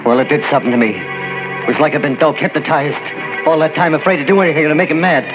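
A man's voice: fairly high pitch, fast talker, close to an everyday voice. An actor with an American accent playing a frightened man on the run in 1940s radio horror, hesitant, pleading and colloquial. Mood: dazed, haunted recounting. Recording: muffled 1940s radio recording, loud organ music.

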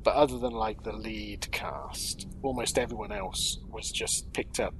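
A man's voice: fairly low pitch, measured pace, slight background noise, low-quality recording. A man co-hosting a podcast, chatting casually as he reacts and gives his opinions. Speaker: podcast co-host.

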